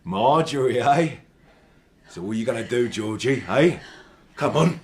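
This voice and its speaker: Radio drama bully: male actor as bad-tempered English husband, sneering threats, very lively intonation. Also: medium pitch, a little slow, lightly acted. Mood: mocking, menacing. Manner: sneering, belligerent, taunting.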